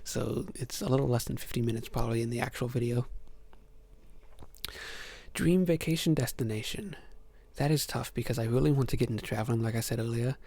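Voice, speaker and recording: A young man from Ohio hosting a soft-spoken ASMR Q&A, answering questions hesitantly with many ums. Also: mid-range voice, fairly quick, creaky, husky. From Ohio, USA. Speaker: ASMR channel host.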